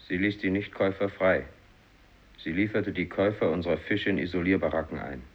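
Gloomy outcast fisherman, very deep voice, radio drama, muffled recording. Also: a little fast, slightly rough. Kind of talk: 1950s literary radio play acting.

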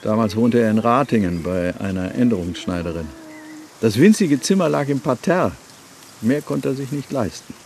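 Radio drama: brooding brother played by a man, creaky voice, halting, dry musing, slight noise behind. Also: medium pitch, expressive, darkish tone, lightly acted. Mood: reflective, dry. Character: brooding bereaved brother, musing, wryly unsettled. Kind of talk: naturalistic radio play acting.

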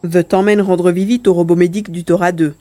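A tiny furry alien hero played by a man speaks in a high, lisping voice. He is a cheerful, boastful rescuer from a science fiction audio drama. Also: little pitch movement, brisk, warm tone, dry recording, close to an everyday voice. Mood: matter-of-fact. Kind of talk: audio drama character acting.

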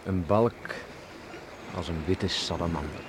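An actor playing a loyal, steady young seafarer and farmer son in a Dutch radio drama, earnest and respectful. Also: male voice, deep voice, takes it slowly. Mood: reflective. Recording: slightly noisy old radio play recording.

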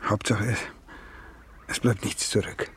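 An actor in a German radio play playing a proud, defensive, troubled middle-aged father with a rough, raspy voice. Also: fairly low pitch, fairly even intonation, a little slow, fairly bright tone, a little hiss or hum. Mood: anxious, defensive.

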